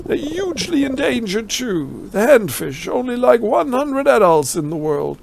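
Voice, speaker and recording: High-pitched, gravelly German man. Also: big pitch swings, measured pace, darkish tone, a little hiss or hum. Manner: wry, ironic commentary. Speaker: let's player. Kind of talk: let's play, reading game text aloud with off-the-cuff German asides.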